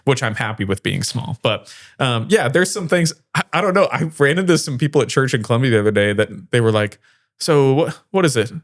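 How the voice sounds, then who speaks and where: A man's voice: mid-range voice, expressive, fairly quick, no room sound, studio quality. An American man hosting a podcast, speaking casually and conversationally in a joking, self-deprecating way. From small-town Missouri, United States. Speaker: podcast host.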